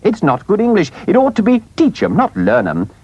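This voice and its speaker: A man's voice: high voice, huge pitch swings, moderate pace, a little mellow, breathy edge, no echo, muffled recording, pitched above the performer's own voice, full character voice. Man doing a fussy, eager little rat. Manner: fussy, correcting. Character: fussy anxious male water rat.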